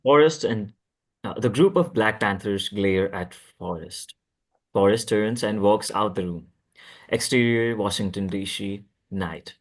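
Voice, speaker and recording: Low-voiced man reading stage directions. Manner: halting, stumbles and repeats words. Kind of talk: scripted narration at a table read.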